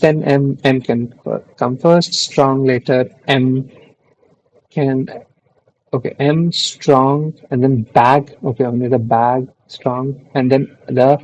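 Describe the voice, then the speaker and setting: A man's voice: medium pitch, a little mellow, dry recording, poor audio. A young man who is not a native English speaker, reading an article aloud on a live stream and musing between lines in a rambling, easily distracted way. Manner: rambling, self-interrupting, distracted asides. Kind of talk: thinking aloud while reading.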